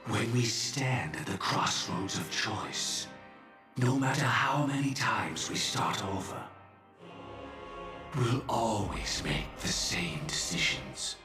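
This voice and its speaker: A man playing a solemn, courteous, fatalistic antagonist in a video game, speaking in formal, measured pronouncements. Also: lowish voice, expressive. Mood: cold, fatalistic. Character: grave, courteous, fatalistic male antagonist passing judgment. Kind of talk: dramatic video game voice acting. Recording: echoey, distant, music underneath. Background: game music.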